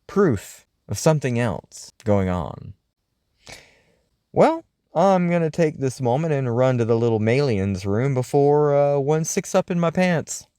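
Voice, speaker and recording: A man's voice: mid-range voice, sing-song, measured pace, dark tone, clean tone, dry recording. A man working as a host, reading listener mail in a scripted radio-show style with a theatrical, wry, musing delivery.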